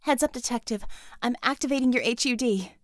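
A woman narrator plays a female tech operator guiding fighters over comms in an action audiobook. She speaks up with brisk, punchy calls, pitched high and recorded very dry. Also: no echo, close to an everyday voice. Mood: brisk, focused. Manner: brisk, punchy radio-call delivery.

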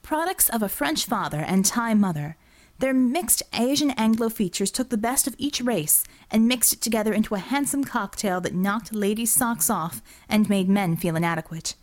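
A woman's voice: medium pitch, animated, normal speed. A woman narrates a work of fiction in a mid-range voice. She reads scripted prose as an audiobook narrator, telling the story in the third person.

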